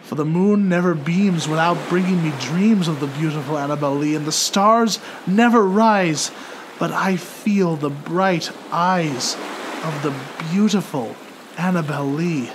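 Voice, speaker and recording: A man narrating poetry in a theatrical, solemn and measured dramatic recitation. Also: on the high side, noisy background. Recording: loud music under the voice. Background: music.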